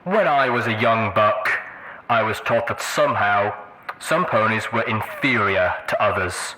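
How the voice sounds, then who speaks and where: Charismatic cult-like pony leader, male actor, low register, fatherly oratory, audio drama radio address with clear background noise. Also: unhurried, lightly acted. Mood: preaching, fatherly. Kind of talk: propaganda radio speech.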